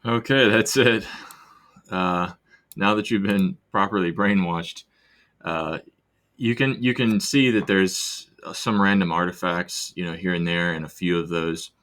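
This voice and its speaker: A man's voice: low-pitched, measured pace, a bit bright. A man hosting a casual screen-recorded walkthrough, talking loosely and rambling with restarts as he explains his steps. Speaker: video host demonstrating software.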